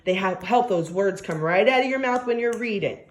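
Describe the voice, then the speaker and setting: A woman teacher reading a story aloud to young children, expressive and coaxing, often asking questions and stressing key words. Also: mid-range voice, brisk. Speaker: primary school teacher.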